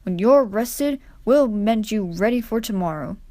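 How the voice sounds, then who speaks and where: A woman voicing a calm, encouraging male railway controller in a children's storybook, reassuring and matter-of-fact. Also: mid-range voice, a little slow, no echo, natural voice.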